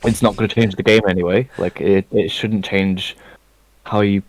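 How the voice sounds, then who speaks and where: A man's voice: fairly low pitch, steady tone, normal speed, no echo, poor audio. A man co-hosting a casual podcast, talking loosely with lots of fillers and restarts. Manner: rambling, many fillers and restarts. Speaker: podcast co-host. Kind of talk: casual chat.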